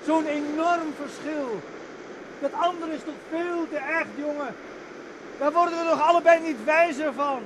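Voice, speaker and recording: A man's voice: extremely high pitch, steady tone, a little slow. An actor in a radio play playing a desperate, clingy man who pleads and calls out after a loved one in a declamatory way. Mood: desperate pleading. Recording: noisy radio play recording.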